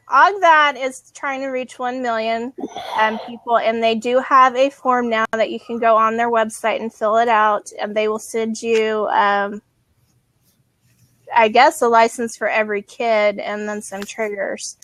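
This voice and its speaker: Woman host, bright higher voice, dry close sound, casual chatty delivery. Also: fairly even intonation, unhurried, dry recording, rough recording. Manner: chatty, laughs often, many ums. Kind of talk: casual live video chat.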